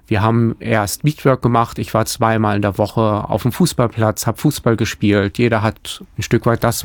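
A man's voice: lowish voice, no echo, clean studio sound. A man from Hamburg, a church planter, answering interview questions thoughtfully, often restarting his sentences.

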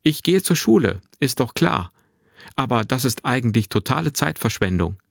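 A man voicing a confident, carefree boy of about twelve in a narrated story, with a clear, bright tone and a forthright manner. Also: medium pitch, sing-song, normal speed, dry recording, clean studio sound, pitched above the performer's own voice. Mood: cheeky, opinionated. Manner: forthright, unembarrassed, boyishly matter-of-fact. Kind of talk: acted dialogue in a narrated story.

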